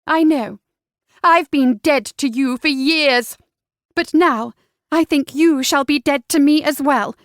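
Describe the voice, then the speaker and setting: A woman voicing a spirited, defiant young gentlewoman in a historical romance audiobook, forthright and emphatic. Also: fairly high pitch, lively intonation, moderate pace, hardly any pauses, no echo, clean studio sound, natural voice. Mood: sad defiance.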